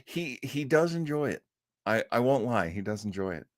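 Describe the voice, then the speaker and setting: Animated man chatting on a livestream. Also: mid-range voice, moderate pace, no room sound, clean studio sound. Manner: chatty and jokey, often chuckling. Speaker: host of a live online show; actor. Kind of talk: casual livestream chat to viewers.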